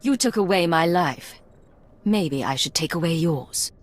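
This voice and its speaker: An actress playing a hardened, wary Imperial agent in a video game, controlled, direct and clipped. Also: female voice, lowish voice, animated, measured pace. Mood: bitter, confrontational. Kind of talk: dramatic game dialogue.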